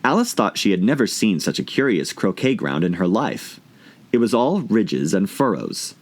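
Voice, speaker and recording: A man's voice: fairly low pitch, very animated, moderate pace. A man reading a children's storybook aloud as scripted audiobook narration. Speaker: audiobook reader.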